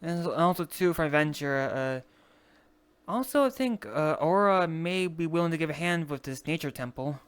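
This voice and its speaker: Clear, hesitant roleplay player, medium pitch. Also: lively intonation. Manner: hesitant, halting, rambling. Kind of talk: improvised in-character online roleplay chat.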